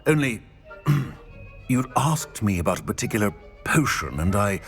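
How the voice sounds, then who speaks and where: An actor voicing a deferential potions professor in a video game, hesitant and discreet. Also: male voice, on the low side, sing-song, a little slow, creaky, faint music behind, heavily acted. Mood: guarded, hesitant.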